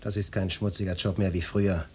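An actor playing a cocky, macho police detective in a radio play, brusque and swaggering, with a flirtatious streak. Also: male voice, sits low, flat delivery, fairly quick, darkish tone, husky. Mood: curt, self-important. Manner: brusque, swaggering, mock-official.

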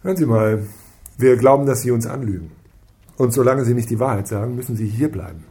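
An actor playing an impatient, pushy police detective, sarcastic and demanding, in a naturalistic radio crime drama. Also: male voice, mid-range voice, a little fast, mellow, natural voice. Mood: stern pressure.

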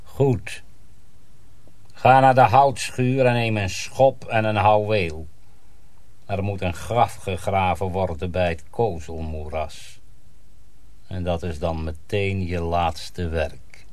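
An actor playing a domineering, menacing master sorcerer in a radio drama, commanding and threatening. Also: male voice, low voice, fairly even intonation, slow, pauses often, darkish tone. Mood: grim, quiet.

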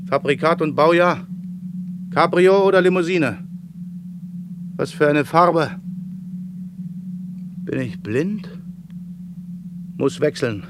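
A man's voice: a little high, slow, pauses often. A man acting a self-important traffic policeman in a radio play, with an emphatic, declamatory manner. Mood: bewildered. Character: self-important male traffic policeman, commanding, growing bewildered. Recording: clear street traffic noise.

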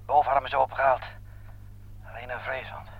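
An actor playing a military ambulance driver at the front, reporting urgently in clipped phrases in a radio play. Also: male voice, medium pitch, little pitch movement, fairly quick, creaky. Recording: dull, dry old radio recording.

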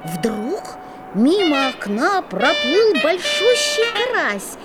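A woman narrating a children's fairy-tale audio play in an expressive storybook style. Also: sits high, slow, over loud music.

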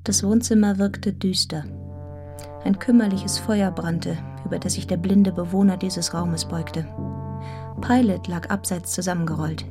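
A woman's voice: on the low side, fairly even intonation, unhurried, lightly acted. An actress playing a devoted, independent young Englishwoman in a German radio play, speaking and narrating with literary, composed earnestness. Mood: somber narration. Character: devoted, independent young Englishwoman telling her own story. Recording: studio radio play with music.

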